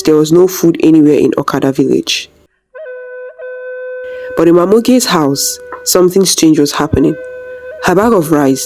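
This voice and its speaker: A woman storyteller with a low, mellow voice narrates a village folktale. She, and faint music plays underneath. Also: rough recording.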